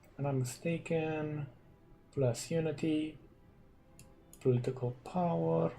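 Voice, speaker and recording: A Romanian man, a non-native English speaker, casually commenting on a strategy game and thinking aloud with hums and pauses. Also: fairly high pitch, fairly even intonation, gravelly, warm tone. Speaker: gaming commentator.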